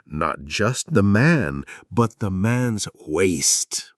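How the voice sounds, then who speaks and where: A man narrating scripted history in a measured storytelling way, with dramatic pauses and wry asides. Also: sits low, expressive, dry recording, clean studio sound.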